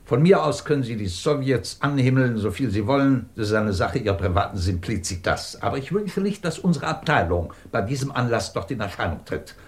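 A man's voice: mid-range voice, lively intonation, a little fast, few pauses, close to an everyday voice. A man acting a middle-aged, imperious and sardonic British professor who runs a research department, in a commanding 1950s German radio drama style. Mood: scornful, commanding. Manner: commanding, clipped, probing, sardonic.

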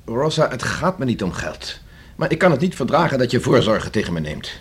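A proud engineer fiancé in a radio play. He has a husky voice of medium pitch. His manner is curt, touchy and grudging. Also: sing-song, fairly quick, exaggerated voice. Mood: sullen. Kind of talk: radio drama acting.

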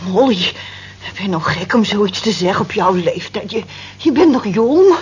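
Radio play: down-to-earth older working woman, consoling, blunt, medium pitch, lo-fi sound. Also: animated, moderate pace, husky. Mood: indignant. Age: 50s. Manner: frank, matter-of-fact, motherly. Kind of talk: radio drama acting. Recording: dull lo-fi old radio recording, hiss.

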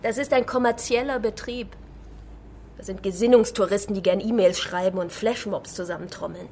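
An actress playing a sharp-tongued, sardonic animal-rights activist in a German radio crime drama. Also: female voice, medium pitch, moderate pace, a little hiss or hum, lightly acted. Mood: scornful. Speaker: activist and café owner.

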